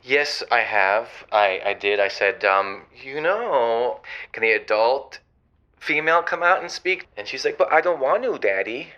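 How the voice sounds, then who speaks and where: A man playing a flustered, exasperated groom-to-be phoning an advice show, halting and stammering, on slightly dull phone-in sound. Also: mid-range voice, expressive, pushed and bright. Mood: baffled, cringing. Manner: halting, stammering, quotes dialogue animatedly. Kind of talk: improvised comedic phone-in.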